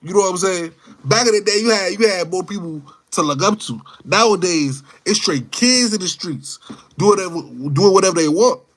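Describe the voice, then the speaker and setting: Male livestreamer, mid-twenties, high-pitched voice, off-the-cuff slangy talk that loops back on itself. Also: lively intonation, moderate pace. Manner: slangy, rambling, repeats phrases and words. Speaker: livestream host chatting with viewers. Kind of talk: casual live chat, off-the-cuff opinion.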